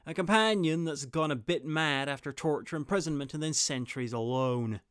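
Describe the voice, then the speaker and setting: A man's voice: on the high side, brisk, fairly bright tone, clean tone. A man narrating a scripted review in a wry, blunt, sweary manner. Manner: blunt, sweary, dry humour. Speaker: reviewer and presenter.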